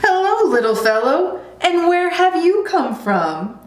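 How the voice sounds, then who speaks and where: A woman voicing excitable, curious safari park animals exclaiming and questioning in a children's storybook reading. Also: extremely high pitch, a little slow, voice pushed up, exaggerated voice. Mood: amazed. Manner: wide-eyed, exclaiming and questioning. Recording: roomy echo, faint background noise.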